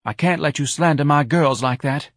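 A man's voice: fairly high pitch, normal speed, no room sound, studio quality, voice pushed up. A man voicing a melodramatic, self-righteous middle-aged wife in an audiobook, grandly reproachful and scornful. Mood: martyred, reproachful. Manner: overwrought, martyred, grandly reproachful.

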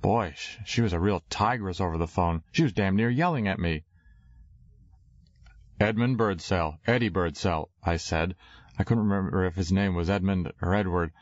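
A man with a very low voice reads a novel aloud in a wry, slangy first-person manner. The recording is dry and slightly muffled, with no room sound. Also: fairly quick, no echo. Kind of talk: audiobook narration.

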